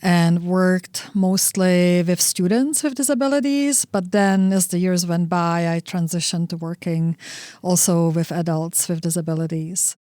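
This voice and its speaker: Low-voiced middle-aged woman from Czechia. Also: mellow, dry recording, studio quality. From Czech Republic. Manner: thoughtful, measured, with frequent "you know" fillers. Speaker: research associate guest. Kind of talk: casual interview answers.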